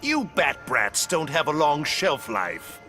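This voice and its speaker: A man's voice: fairly high pitch, wildly swooping pitch, takes it slowly, full character voice. A man playing a mocking, theatrical clown villain in a video game, taunting his opponent. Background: music.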